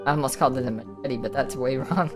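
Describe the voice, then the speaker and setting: A man's voice: mid-range voice, fast, over music, natural voice. A man playing a timid, worried schoolboy sidekick in an improvised Minecraft roleplay, anxious and full of questions. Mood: puzzled.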